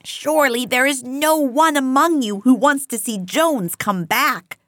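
A woman's voice: high voice, measured pace, fairly bright tone, no room sound, clean studio sound, voice pushed up. A woman voicing a wheedling male pig, a slick propagandist making emphatic, pleading speeches in an audiobook. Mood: pleading, urgent. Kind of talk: audiobook character voice.

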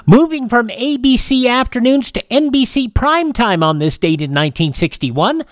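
Dry-miked male radio host, lively intonation. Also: very high voice, normal speed, no echo. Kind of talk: conversational scripted history rundown.

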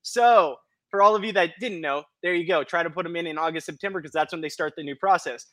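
A man in his thirties co-hosting a podcast, encouraging and upbeat, and quick to laugh. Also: a little high, fairly bright tone, no echo. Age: 30s. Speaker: podcast co-host. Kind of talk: casual chat.

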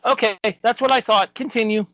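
A man's voice: very high voice, steady tone, a little fast, fairly bright tone, no room sound, dull audio. A man bantering casually as a podcast panelist, cutting in with emphatic interjections.

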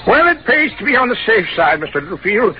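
A man's voice: high-pitched, very animated, fast talker, slight background noise. An actor playing a folksy, chatty, nosy small-town American postman who hesitates and repeats himself, in 1940s radio style. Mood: cautiously concerned. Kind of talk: 1940s radio character acting. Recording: muffled 1940s radio recording.

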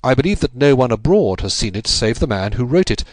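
A man reading aloud, voicing an earnest younger statesman who explains in a hurried, anxious way. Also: mid-range voice, sing-song, darkish tone, no echo, natural voice.

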